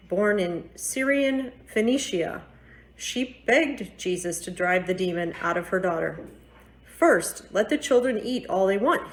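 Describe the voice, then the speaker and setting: A woman's voice: medium pitch, low-quality recording. A woman reading a Bible story aloud as a church scripture reader.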